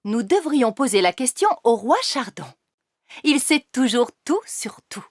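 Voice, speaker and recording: A woman's voice: fairly high pitch, measured pace, slight background noise. Lilting, kindly fairy queen mother. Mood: patient, puzzled. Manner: expressive, patient storyteller. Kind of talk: French cartoon dub, storybook reading.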